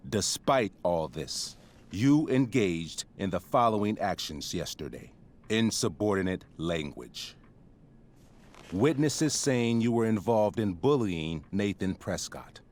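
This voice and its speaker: A stern middle-aged school principal with a lowish voice. He speaks in formal, pompous, wordy sentences, handing down judgment as in a dramatic video game scene. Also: animated, slow pace, a little mellow.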